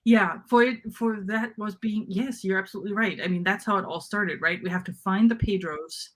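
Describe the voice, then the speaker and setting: A woman's voice: medium pitch, fairly even intonation, brisk, fairly bright tone. Informal woman chatting, thinking aloud. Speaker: co-host of a book discussion. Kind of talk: casual fan chat about fantasy novels.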